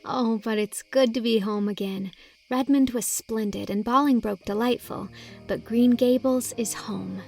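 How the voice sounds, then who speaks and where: A woman's voice: on the high side, measured pace, creaky, darkish tone, soft background music, low-quality recording. A woman voicing a dreamy, romantic young woman who gushes in a rapturous, lyrical way.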